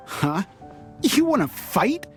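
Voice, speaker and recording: An ordinary townsperson in a video game, exasperated and scolding. The pitch swings up and down in a resentful, confrontational delivery, with faint music behind. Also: very animated, drawn-out words.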